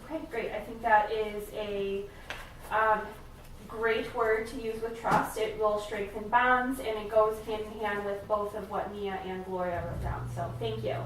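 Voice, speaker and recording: A woman's voice: medium pitch, little pitch movement, measured pace, natural voice. A woman playing an encouraging, careful family therapist in a role-played session, speaking hesitantly and affirmingly. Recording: very echoey room, distant mic, faint noise.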